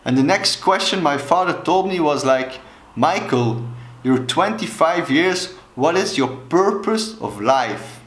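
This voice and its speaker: A Belgian man in his thirties speaks English with a Dutch accent, slow and deliberate, in a fairly high voice. He talks earnestly straight to camera in a small room that echoes. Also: lively intonation, a bit bright. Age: 30s. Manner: slow, deliberate, gestures with both hands. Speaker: alcohol coach. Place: small room indoors, plain wall. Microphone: camera mic, head and shoulders.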